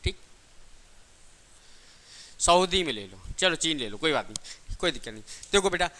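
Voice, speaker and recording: A man's voice: fairly high pitch, very animated, normal speed, bright, forceful tone. A man teaching a class, asking questions and answering them himself, repeating key points in simple everyday examples. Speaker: physics teacher for exam coaching.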